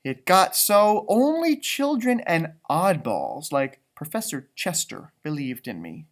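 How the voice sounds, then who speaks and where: Guy doing a grumpy lil old leprechaun, boastful n feisty, pitch bouncing all over, storybook style. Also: very animated, slow, exaggerated voice. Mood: indignant.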